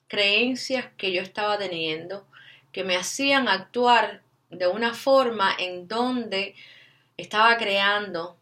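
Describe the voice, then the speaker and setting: Cuban woman vlogger, bright, forward tone, tags questions onto her lines, slips in English fillers. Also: medium pitch. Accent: Cuban Spanish. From Cuba. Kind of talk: personal storytelling to camera.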